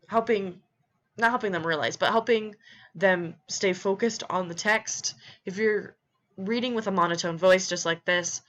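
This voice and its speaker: A woman's voice: lowish voice, a bit bright. A young woman, a music education student, explaining read-aloud steps in an informal presentation with many ums and restarts. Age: young adult.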